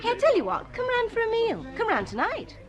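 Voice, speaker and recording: An actress playing a sensible, sympathetic schoolteacher, tactful and brisk, in a radio drama. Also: female voice, extremely high pitch, wildly swooping pitch, a loud crowd, full character voice. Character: sensible, sympathetic woman schoolteacher, a colleague's friend. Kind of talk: naturalistic radio drama dialogue.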